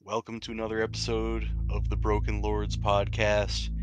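A man's voice: on the low side, completely flat delivery, normal speed. A man speaking as game master and host, narrating and bantering in a casual, sweary way. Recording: music behind the voice. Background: music.

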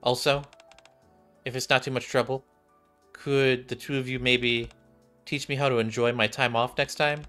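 A man's voice: mid-range voice, flat delivery, moderate pace, long pauses, a bit bright. Young man reading game dialogue over music. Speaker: game streamer reading visual novel dialogue aloud. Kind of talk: read-aloud of game dialogue. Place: small indoor room.

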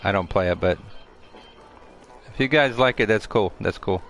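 Deep-voiced man chatting on a game stream. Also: moderate pace. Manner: relaxed, chatty, repeats phrases, answers chat as he plays. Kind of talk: casual live-stream chat reading viewer comments. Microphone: headset. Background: game music.

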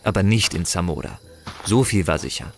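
A man's voice: deep voice, moderate pace, slight background noise. A man narrating a fantasy audio drama in a dramatic, scripted storytelling manner.

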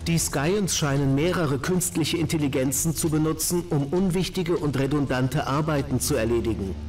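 Clinical, higher-pitched male AI voiced by a man. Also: moderate pace, a little mellow, faint music behind, close to an everyday voice, poor audio. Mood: neutral, analytical. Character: precise, emotionless male artificial intelligence analyst. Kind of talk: video game dialogue acting.

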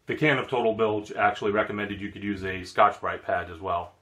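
A man's voice: fairly low pitch, fairly even intonation, brisk, fairly bright tone, echoey room. A man giving a plain, matter-of-fact how-to narration about work on his sailboat. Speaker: vlogger refitting his sailboat. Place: aboard a boat in a marina.

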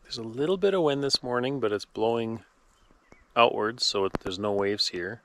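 A man's voice: mid-range voice, moderate pace. A middle-aged man talking to camera from a small boat on a lake as he fishes, chatty and matter-of-fact. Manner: chatty, talks to camera while working the rod. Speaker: fishing vlogger. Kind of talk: casual narration while fishing. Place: small open boat on a windy mountain lake. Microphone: action camera mounted on the boat. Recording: distant camera mic with loud wind and water. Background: wind and boat noise.

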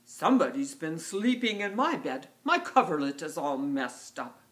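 Woman voicing a loud, indignant giant papa bear. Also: deep voice, sing-song, normal speed. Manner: emphatic, loud complaining.

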